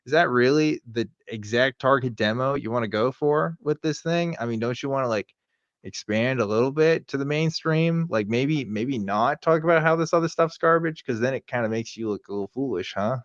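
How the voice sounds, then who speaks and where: A man's voice: medium pitch, a little fast, no echo, highs cut off. A man co-hosting a casual reaction show, giving sardonic commentary and slipping into mock quotes. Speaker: show co-host.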